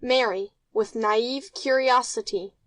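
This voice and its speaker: Casting: female narrator for stage directions, higher voice, dry close sound, scripted delivery. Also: takes it slowly, no room sound.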